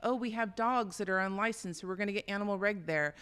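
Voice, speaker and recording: A woman's voice: mid-range voice, fast talker, smooth. A middle-aged woman from Los Angeles, a retired police detective telling stories in a casual interview, acting out quoted voices as she goes. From Los Angeles, California. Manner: animated storyteller, acts out quoted voices.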